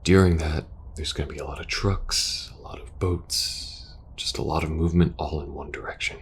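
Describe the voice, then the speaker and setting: A man playing a war-weary, protective soldier in an intimate ASMR audio roleplay, speaking earnestly and hesitantly, sometimes hushed. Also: rumbling low, fairly even intonation, normal speed, a little hiss or hum. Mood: urgent, persuasive. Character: war-weary young male soldier pleading with best friend, protective, earnest.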